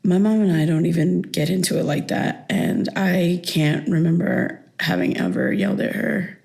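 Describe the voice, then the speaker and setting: A woman's voice: fairly low pitch, expressive, moderate pace, vocal fry, a little mellow. A woman playing a raw, insistent daughter in her thirties in a naturalistic audio drama, speaking in a hesitant, conversational way. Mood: confiding, subdued. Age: 30s. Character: wounded thirty-three-year-old daughter, insistent and raw.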